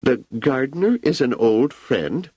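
An aging emperor, wry and imperious, drawling his questions with lazy amusement, voiced by a man reading a novel aloud. His voice sits a little higher than the narrator's deep one and moves widely. The sound is dry and close. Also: very animated, measured pace, darkish tone, breathy edge, no room sound, rough recording. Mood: amused. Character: aging male emperor, idle and ironic.

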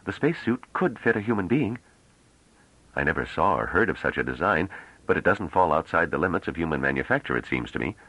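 A man voicing a mild, cautious male scholar with a hesitant, courteous and gentle manner, in an audiobook story. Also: low-pitched, very animated, fairly quick, slightly rough, no room sound, heavily acted, poor audio. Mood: hesitant, wondering.

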